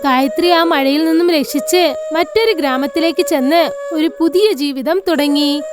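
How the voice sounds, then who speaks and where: Very high-pitched woman narrating a story. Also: moderate pace. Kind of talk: scripted children's moral story narration. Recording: faint music behind narration.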